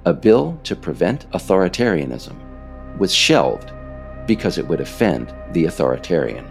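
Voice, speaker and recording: A man reading scripted political narration as a podcast author, deliberate, with pointed pauses on key phrases. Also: low voice, big pitch swings, vocal fry, soft background music.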